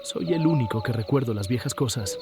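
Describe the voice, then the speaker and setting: A man's voice: medium pitch, expressive, fairly quick, lightly acted. Man acting a scornful, impatient artist over music. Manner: disdainful, sarcastic. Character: scornful, impatient male artist obsessed with occult. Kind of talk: audio horror story dramatization.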